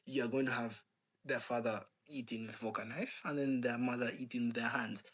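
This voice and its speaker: A man's voice: mid-range voice, steady tone, normal speed, fairly bright tone, breathy edge. A man talking in a casual, rambling monologue, posing rhetorical questions and leaning on fillers. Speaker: channel host.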